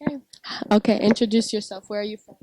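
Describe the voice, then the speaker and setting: Woman interviewer, mid pitch, dry close sound, quick simple questions. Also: dark tone, no echo. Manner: brief and direct questions.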